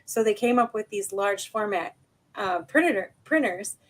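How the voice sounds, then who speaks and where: A woman's voice: mid-range voice, lively intonation, measured pace. An American woman hosting a live interview over a video call, upbeat and chatty as she greets the guest and the viewers. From United States. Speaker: podcast host.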